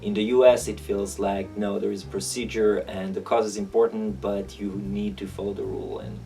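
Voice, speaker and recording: A man in his thirties from Israel speaks with an Israeli accent and a gravelly edge, working through his thoughts haltingly as an interviewee. He is picked up from a distance, with guitar music playing behind him. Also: on the low side, little pitch movement, warm tone. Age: 30s. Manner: halting, thinking aloud. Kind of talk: reflective interview answers.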